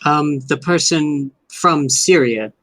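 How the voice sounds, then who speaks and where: A man, one of the players, making wry deadpan remarks over an online voice call. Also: a little high, takes it slowly, no echo, poor audio. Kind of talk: casual out-of-game chat.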